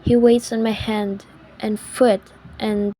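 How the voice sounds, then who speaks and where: A fretful, self-pitying housewife played by a woman in a radio thriller. Her voice sits high and she speaks slowly, with an insistent, haughty edge, as someone used to being waited on. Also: flat delivery, warm tone, rough recording. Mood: rattled, pleading. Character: anxious, demanding, self-pitying invalid housewife phoning the police. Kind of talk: old-time radio thriller acting.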